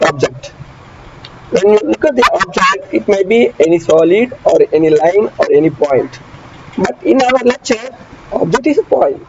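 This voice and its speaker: A man giving an online engineering lecture, repeating key phrases slowly and often asking students if they follow. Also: sits high. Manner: asks students frequent check questions. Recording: dull muffled audio with traffic noise behind.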